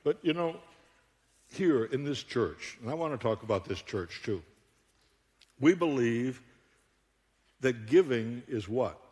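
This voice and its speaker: An older man from Buffalo preaches in a playful, joking way and keeps breaking into laughter. His voice sits in an ordinary middle pitch. He speaks into a stage microphone, and the sound rings with the long reverb of a large church hall. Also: takes it slowly, long pauses. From Buffalo, New York. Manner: joking, animated storyteller with frequent laughs. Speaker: guest speaker. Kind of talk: humorous sermon. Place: church auditorium.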